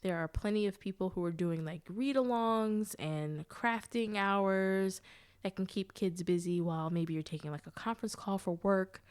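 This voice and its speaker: A woman's voice: medium pitch, normal speed, a little mellow, clear, resonant tone. A woman hosting a solo podcast, talking to listeners like an old friend. Her voice sounds nasal and stuffed up from blocked sinuses. The delivery is chatty and easygoing, with friendly asides and easy laughs. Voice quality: nasal from allergies. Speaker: podcast host. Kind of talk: casual solo podcast chat.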